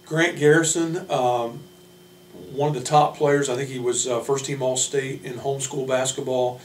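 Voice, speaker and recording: A man speaking as a basketball coach, giving informal player introductions in a measured, hesitant way with frequent pauses. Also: mid-range voice, steady tone. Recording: roomy, distant mic, faint hum.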